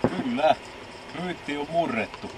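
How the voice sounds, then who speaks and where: A man giving a casual, deadpan, step-by-step demonstration as an amateur video maker. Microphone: camcorder microphone. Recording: noisy low-quality old camcorder audio.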